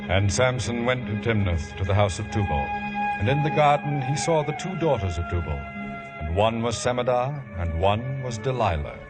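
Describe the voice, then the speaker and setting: An actor playing a solemn biblical storyteller, narrating scripture in scripted 1950s radio style. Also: male voice, medium pitch, lively intonation, moderate pace, over loud music, close to an everyday voice. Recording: vintage 1950s radio broadcast.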